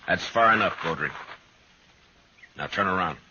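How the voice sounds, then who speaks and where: Man acting a hard-bitten old west marshal, really low voice, terse, dull old radio sound. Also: normal speed, noisy background, natural voice. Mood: hard, wary. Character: stern, laconic US Marshal in the Old West, middle-aged man. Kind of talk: 1950s radio western acting. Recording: dull old radio recording, music behind.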